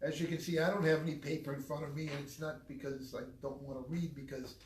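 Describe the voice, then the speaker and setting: A man's voice: a little high, level tone, fast, roomy sound, recorded from a distance, slight background noise. A man co-hosting a talk show, congested from a cold, talking casually and rambling. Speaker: podcast co-host. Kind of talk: casual chat. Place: small studio.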